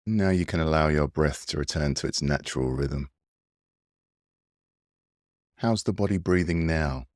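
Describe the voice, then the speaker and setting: A man leading a guided breathing meditation in a soothing, gentle, unhurried manner. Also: a bass voice, dry recording, clean studio sound. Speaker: meditation guide and mindfulness coach.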